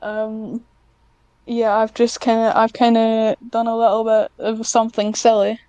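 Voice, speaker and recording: A woman's voice: on the high side, completely flat delivery, measured pace, warm tone, clear, resonant tone, no echo. A woman chatting casually as an interview guest, speaking in a hesitant, self-conscious way with frequent restarts. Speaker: podcast guest, scriptwriter.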